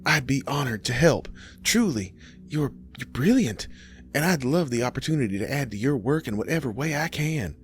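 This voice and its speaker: A man's voice: medium pitch, animated, normal speed, a little hiss or hum, pitched above the performer's own voice, close to an everyday voice. A man voicing a nervous, gushing young male admirer in his early thirties, stammering politely in a horror story. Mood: eager, gushing.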